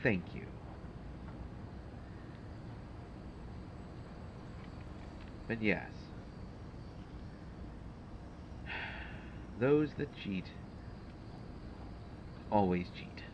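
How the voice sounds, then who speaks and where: A man's voice: low voice, lo-fi sound, background noise. A man playing a haughty, prickly supervillain mastermind in an audio roleplay, speaking in formal, condescending, exasperated phrases. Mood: indignant, scornful. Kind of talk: audio roleplay acting addressed to the listener.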